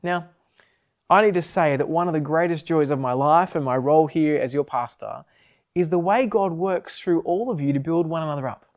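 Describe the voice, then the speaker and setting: A man's voice: a little high, normal speed, a little mellow. A man who pastors a church, addressing his congregation and thanking them earnestly.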